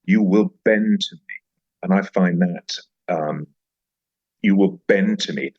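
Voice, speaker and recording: A man's voice: low-pitched, takes it slowly, breathy edge, muffled recording. A man speaking reflectively as an interview guest, emphatic and repeating phrases for effect. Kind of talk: reflective interview conversation with recited verse.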